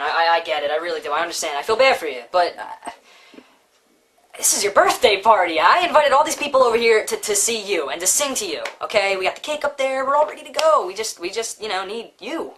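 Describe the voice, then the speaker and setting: A woman voicing a naive, earnest schoolboy puppet, a pushy friend who talks haltingly and repeats himself in comic dialogue. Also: medium pitch, expressive, fairly bright tone, a little hiss or hum, close to an everyday voice. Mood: earnest coaxing. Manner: halting, repetitive pep talk and baffled questions.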